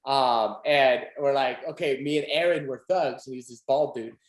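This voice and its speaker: A man's voice: medium pitch, normal speed. A young man hosting a podcast, chatting casually and animatedly with lots of fillers and laughter. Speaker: podcast host. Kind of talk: casual chat. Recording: echoey room.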